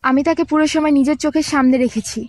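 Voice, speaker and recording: A woman's voice: sits high, steady tone, rapid-fire, a little mellow, no room sound, close to an everyday voice. A woman voicing an obedient, gushing fan underling in an anime fan dub, worshipful in manner. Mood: eager obedience.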